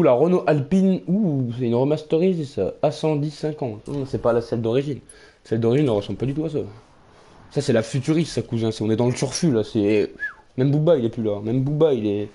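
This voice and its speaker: A man's voice: medium pitch, a little fast, mellow. A man with a slight Belgian French accent, a streamer giving rambling, casual live commentary on his racing game and swearing often. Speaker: gaming streamer. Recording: game engine noise in background.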